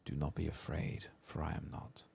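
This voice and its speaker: A man voicing a weary, grieving old elf in a fantasy game, soft-spoken, solemn and formal. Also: extremely low pitch, level tone, moderate pace, creaky, slightly rough, pitched above the performer's own voice, heavily acted. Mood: solemn resolve. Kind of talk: soft-spoken game dialogue read-aloud.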